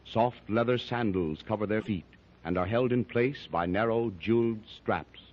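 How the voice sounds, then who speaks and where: A man giving even, descriptive scripted narration for a 1930s radio serial. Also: fairly low pitch, moderate pace. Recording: dull old radio recording.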